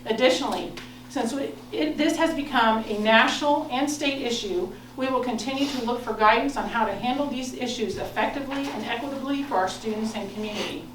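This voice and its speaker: An American woman reading a prepared statement as a board president, in a formal, measured, firm manner. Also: on the high side. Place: indoor public board meeting. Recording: echoey meeting room, distant mic, noise.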